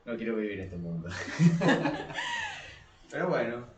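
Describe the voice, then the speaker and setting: An Argentine man co-hosts a relaxed literary discussion. His pitch moves in lively swings over a middling register, and he often restarts and rewords. The room echoes and he sounds set back from the microphone. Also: unhurried, background noise. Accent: Argentine Spanish. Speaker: podcast co-host. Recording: roomy echo, distant from the mic.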